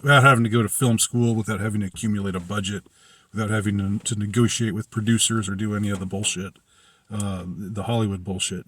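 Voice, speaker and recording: A man giving a casual, unscripted movie review in a halting, hesitant way, often trailing off and circling back. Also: lowish voice. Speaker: film reviewer.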